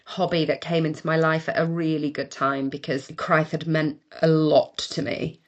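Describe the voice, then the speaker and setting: A Welsh woman, an actor, chatting casually in an interview, telling stories with laughs and quick restarts. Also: sits low, flat delivery, lo-fi sound. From Wales.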